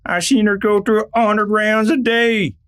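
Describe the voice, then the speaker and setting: A man's voice: sits high, steady tone, unhurried, fairly bright tone, clean tone. A man playing a crude, boastful outlaw in a Western radio play, emphatic and scolding, with an American Western drawl.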